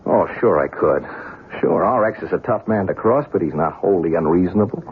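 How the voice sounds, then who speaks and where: Rattled young male writer, radio drama, halting, emotional, disbelieving, tinny band-limited sound, light hiss. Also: deep voice, lively intonation. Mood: agitated, distraught. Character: shaken, skeptical young male writer, lovesick and agitated. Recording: noisy narrow-band vintage radio sound.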